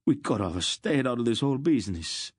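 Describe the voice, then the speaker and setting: A man's voice: medium pitch, big pitch swings, fairly quick, a little mellow, husky, no echo, studio quality, pitched above the performer's own voice. A man doing a frightened wailing merchant. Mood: panicked. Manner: wailing, trembling. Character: frightened older male merchant, shaky.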